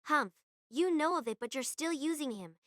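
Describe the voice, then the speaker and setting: An actress playing a hot-tempered, scornful young military student in an audio drama, confrontational and accusatory. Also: female voice, sits high, animated, normal speed, dry recording, clean studio sound. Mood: scornful, accusing. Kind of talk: anime-style audio drama acting.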